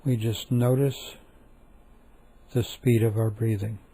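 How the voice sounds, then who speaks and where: A man leading a guided meditation, speaking calmly and softly with long, repetitive pauses. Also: on the low side, slightly rough.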